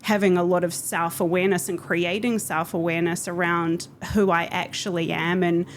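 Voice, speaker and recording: A woman's voice: fairly low pitch, level tone, normal speed, slight background noise. A woman with a New Zealand accent telling her personal story reflectively as a podcast guest. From Auckland, New Zealand. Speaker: podcast guest, business coach.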